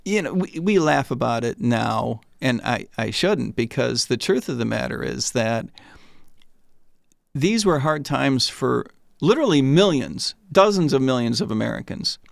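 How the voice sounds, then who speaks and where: A man's voice: medium pitch, lively intonation, unhurried, gravelly, no room sound, clean studio sound. A man in his sixties, a retired economist, telling stories as a guest in a casual interview and often repeating words when he starts a sentence.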